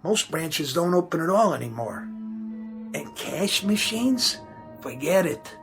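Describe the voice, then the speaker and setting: A man's voice: on the high side, sing-song, a little slow, gravelly, full character voice. A working-class repairman in a radio drama. He talks in a folksy, ungrammatical way, hesitating apologetically yet holding firm. Faint music plays behind him. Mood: weary, explaining. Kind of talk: audio drama acting.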